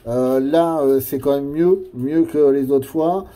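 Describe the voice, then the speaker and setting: Mellow, halting man in casual radio chat. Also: mid-range voice. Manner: hesitant, halting, repeats phrases. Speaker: amateur CB radio operator.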